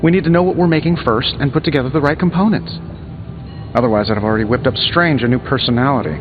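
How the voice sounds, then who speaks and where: Video game superhero inventor, male actor: American, quick-witted, smug wisecracker, mid-pitched, music clearly underneath. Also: natural voice. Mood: breezy, explaining. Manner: sarcastic, glib, quick-witted banter. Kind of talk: video game dialogue acting. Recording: dull game audio with music behind.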